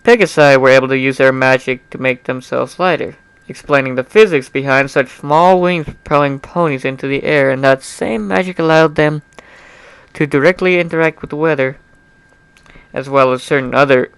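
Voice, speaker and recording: A man's voice: mid-range voice, normal speed, a bit bright, smooth, no room sound, low-quality recording. A young man giving an amateur dramatic reading of fan fiction, informal and often breaking off into chatty, apologetic asides. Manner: informal, self-interrupting, apologetic.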